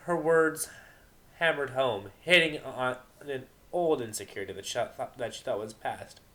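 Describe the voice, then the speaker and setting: A man giving an informal, expressive dramatic narration of fan fiction, sometimes stumbling over the text. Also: mid-range voice, normal speed.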